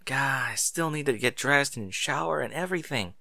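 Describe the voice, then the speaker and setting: A man voicing a caring, harried mother in a storybook narration, gently coaxing and fretful. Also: medium pitch, moderate pace, creaky, no room sound, studio quality. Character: caring, harried mother, cheerful but worried. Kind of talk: audiobook character dialogue.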